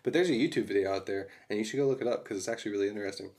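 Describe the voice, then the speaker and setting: A laid-back man chatting on a podcast, his voice on the low side. He rambles through his thoughts with easy chuckles. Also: very fast, darkish tone. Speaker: podcast co-host. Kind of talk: casual chat.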